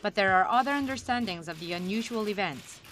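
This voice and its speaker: A woman's voice: mid-range voice, animated, a little fast, a bit bright. A woman reporter reading a scripted news feature narration.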